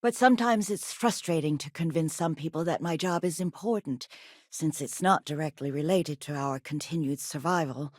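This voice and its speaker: A woman's voice: low voice, moderate pace, no room sound, studio quality. An actress playing an earnest, gracious woman historian and teacher in a video game, articulate and polite. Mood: reflective, wistful. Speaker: video game character voice actor. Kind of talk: scripted game character dialogue.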